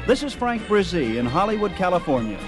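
Animated American radio host over loud music. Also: male voice, sits high, fairly quick. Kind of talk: scripted radio sign-off narration.

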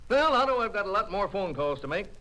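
Folksy, stubborn American con salesman for old radio crime drama: highish, animated, placating. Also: fast, heavily acted. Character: folksy, stubborn oil stock swindler, smooth salesman.

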